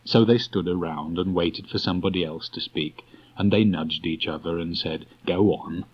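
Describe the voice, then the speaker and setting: A man's voice: low-pitched, normal speed, slightly rough. A man narrating a children's story from a script as an audiobook reader. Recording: dull restored cassette tape.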